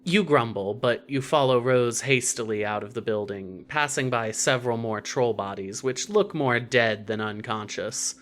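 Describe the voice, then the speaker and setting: A man narrating scripted fiction in a measured, wry manner. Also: medium pitch, fairly bright tone.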